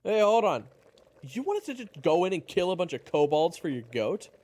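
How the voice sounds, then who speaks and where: A man playing an earnest, easily flustered adventurer in a fantasy audio roleplay, excitable and prone to stammering. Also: sits high, brisk. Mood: incredulous. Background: music behind the voice.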